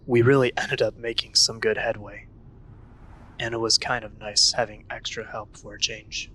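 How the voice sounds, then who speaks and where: A man's voice: lowish voice, little pitch movement, moderate pace, a bit bright, husky. A man playing a serious, take-charge young investigation leader in an audio drama, with a dry, terse manner. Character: Simon, serious young man leading a paranormal investigation team.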